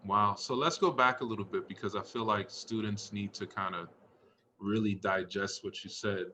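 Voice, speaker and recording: A man's voice: lowish voice, fairly even intonation, normal speed, pushed and bright. Encouraging male interviewer with noise behind him. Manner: encouraging, empathetic toward guest and students. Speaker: interviewer and host of a school staff story series.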